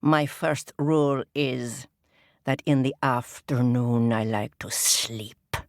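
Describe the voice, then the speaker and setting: A woman's voice: no echo, clean studio sound, heavily acted. A woman voicing a pompous male crab king who is stern and commanding. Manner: slow, low and commanding.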